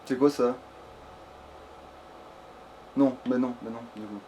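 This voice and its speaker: A man's voice: medium pitch, fairly even intonation, takes it slowly, mellow, a little hiss or hum. A man giving casual, rambling gameplay commentary as a let's play host, swearing freely and talking crudely.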